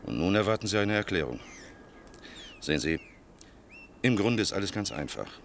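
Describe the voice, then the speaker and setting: An actor playing a grave, world-weary older male official in a German radio play, speaking with measured, bitter irony. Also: low voice, sing-song, gravelly, slight background noise, full character voice. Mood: grave explaining. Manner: measured, sardonic, rhetorical.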